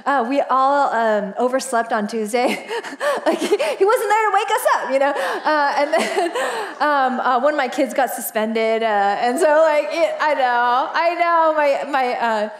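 A woman's voice: high-pitched, expressive, a little slow. A woman in her forties, a pastor, giving a casual, chatty sermon from a church stage with lots of laughter and fillers. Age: 40s. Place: church stage, large indoor auditorium.

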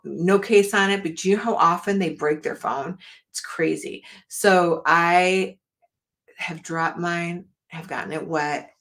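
A woman's voice: fairly low pitch, fairly bright tone. Chatty woman hosting an art live stream. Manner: chatty and rambling, talks straight to viewers. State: losing her voice. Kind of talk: casual live-stream chat. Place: home art studio, indoors.

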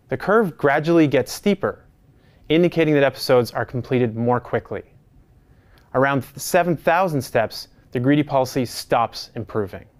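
A man in his thirties, a course instructor, giving a steady, measured scripted lecture, standing in a home study lined with bookshelves. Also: mid-range voice.

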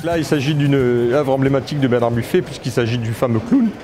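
A man's voice: medium pitch, fast, dark tone, soft background music. A man in his fifties, an art dealer, presenting a painting with emphasis at an art fair. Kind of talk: explaining an artwork on camera. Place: art fair stand indoors. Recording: crowd chatter behind.

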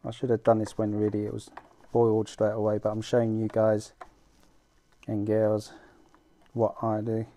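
A man's voice: on the low side, monotone, warm tone. Casual middle-aged British man demonstrating. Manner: informal, self-deprecating, halting while busy with hands. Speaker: fishing vlog host. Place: small room at home, indoors.

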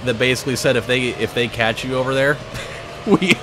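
A man hosting a gaming video, telling stories in a casual, animated way with swearing. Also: medium pitch, brisk, background noise. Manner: animated storytelling with profanity. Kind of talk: casual chat over a racing game. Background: loud game music and car engines.

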